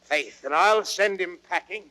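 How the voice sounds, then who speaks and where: High-voiced, roguish royal companion. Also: male voice, unhurried, pushed and bright, a little hiss or hum. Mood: blustering. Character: roguish, alarmist companion of the young prince. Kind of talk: 1930s radio Shakespeare acting.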